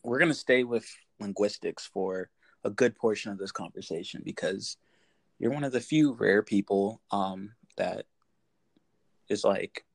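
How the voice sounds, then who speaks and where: Male podcast host, low voice, rambling with fillers, dry sound. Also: measured pace, vocal fry, dry recording. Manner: loose, rambling, many fillers. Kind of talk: casual interview chat.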